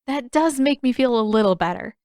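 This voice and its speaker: A woman's voice: on the high side, animated, fairly quick, darkish tone, dry recording, clean studio sound, pitched above the performer's own voice. A woman voicing a spirited, stubborn, sarcastic teenage girl in an audiobook story. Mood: grudging.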